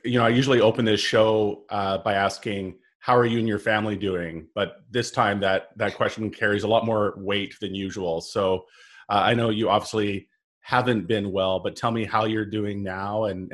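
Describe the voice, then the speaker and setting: A man hosting an interview podcast, opening with a scripted intro, then asking questions haltingly and repeating words. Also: on the low side, steady tone. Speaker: podcast host.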